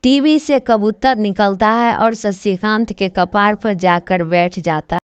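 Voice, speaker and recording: A woman's voice: mid-range voice, a little slow, clear, resonant tone, no echo. A woman reading scripted narration for a children's moral story.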